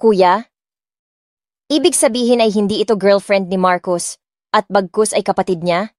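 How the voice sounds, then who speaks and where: A woman's voice: medium pitch, moderate pace, no room sound, clean studio sound. A woman with a Filipino accent reading dramatic first-person scripted narration as an audiobook narrator. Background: soft music.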